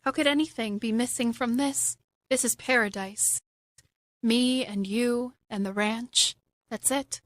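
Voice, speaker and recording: A content woman who stands firm, played by an actress in a radio drama. Her voice sits a little high, her delivery is measured, and the sound is very dry. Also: no echo, natural voice. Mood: content, puzzled. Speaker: radio play actor. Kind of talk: modern radio drama acting.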